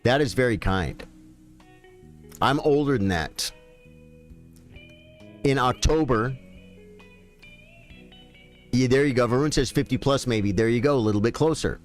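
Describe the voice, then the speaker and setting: A man's voice: mid-range voice, measured pace, pauses often, faint music behind. A man in his late fifties, a chess streamer chatting casually and folksily with his viewers. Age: 50s. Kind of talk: casual live-stream chat.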